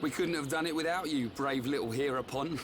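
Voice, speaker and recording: A man's voice: mid-range voice, a little fast, background noise, natural voice. A man playing a cocky, loyal young fighter in a video game. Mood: amused. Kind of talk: video game cutscene acting.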